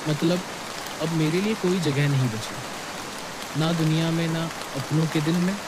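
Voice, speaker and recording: A man's voice: on the high side, a little slow, natural voice. A man playing an earnest, desperate, poor evicted father in a moral cartoon story. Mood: desperate pleading. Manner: pleading, earnest. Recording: hissy, very loud rain noise.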